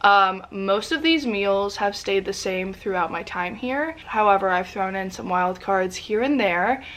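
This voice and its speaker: A woman's voice: medium pitch, measured pace, a bit bright. A young woman chatting in a home vlog, sniffly and congested, rambling in a bubbly, casual way. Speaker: lifestyle vlogger. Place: indoors at family home.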